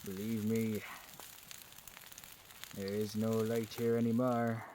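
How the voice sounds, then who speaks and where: A man's voice: on the low side, flat delivery, takes it slowly, background noise. A man playing a resentful working villager in a period audio drama, sardonic and challenging in manner. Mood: gloomy, resentful. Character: bitter, resentful lower-class village husband in plague times.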